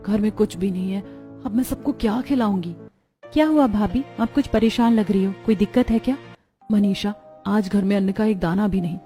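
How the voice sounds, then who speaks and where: A woman's voice: mid-range voice, warm tone, natural voice. A woman voicing a worried, caring woman of a poor household in a melodramatic children's cartoon, earnest and plaintive.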